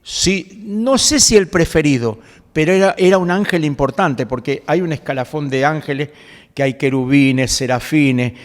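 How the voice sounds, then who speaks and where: A man in his seventies with an Argentine accent, a pastor guest who explains scripture in a conversational preaching way, quoting verses and filling pauses with "este". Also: fairly high pitch, big-room sound. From Galicia, Spain. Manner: quotes scripture at length, fills pauses with "este".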